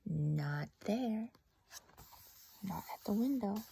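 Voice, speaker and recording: A woman voicing the Dark from a storybook, a calm, mysterious, gently beckoning spirit, breathy, deliberate and coaxing. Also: medium pitch, full character voice.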